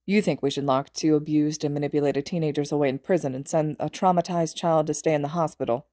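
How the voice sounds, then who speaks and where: A woman's voice: low-pitched, fairly even intonation, fast talker, dark tone, no room sound, clean studio sound, natural voice. A woman voicing a stern adult male teacher who snaps his lines in a read-aloud story. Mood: irritated. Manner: snappish.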